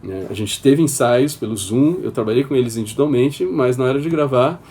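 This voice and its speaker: Mellow husky man explaining. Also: mid-range voice, a little fast. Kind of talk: interview talk.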